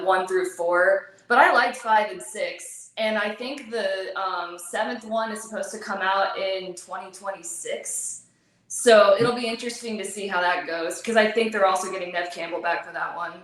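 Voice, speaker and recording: A talkative woman guest chatting in a very echoey room. She fills her speech with ums and likes, laughs easily, and her voice is wearing thin and hoarse. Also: mid-range voice, fairly bright tone. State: losing her voice. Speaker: actress guest. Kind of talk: casual interview chat.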